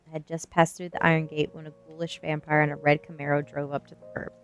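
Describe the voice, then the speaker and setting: A woman reading a first-person novel aloud in an ASMR storytelling style, with expressive narration. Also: deep voice, fast talker, dull audio. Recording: music behind the voice. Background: music.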